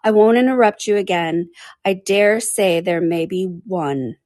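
A curious young girl from a storybook, played by a woman reader. Her voice is lifted in pitch, with a polite manner that easily turns offended. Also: animated, a little fast, dark tone, husky, no room sound, studio quality. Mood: cautious.

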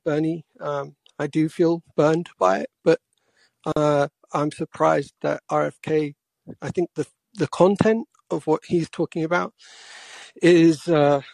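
Casting: male caller, forties, from England, soft-spoken and halting, creaky, very dry close sound. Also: on the high side, no room sound, rough recording. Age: 40s. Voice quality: quiet voice. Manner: hesitant, halting. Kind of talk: casual political talk.

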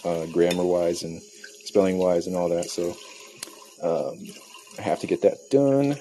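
A man's voice: deep voice, animated, measured pace, warm tone, slight background noise. A man hosting a casual solo podcast, rambling with lots of fillers and restarts while making breakfast. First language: not Spanish. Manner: rambling, filler-heavy, self-correcting. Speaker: podcast host.

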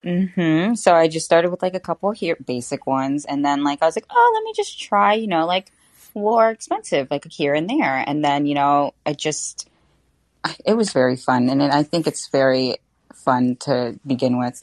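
A young woman chats as a podcast guest, her voice low for a woman. She talks in a bubbly, informal way, with lots of "like" and quick asides. The sound is dry and close to the mic. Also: expressive, no room sound. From Florida. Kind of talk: casual interview chat.

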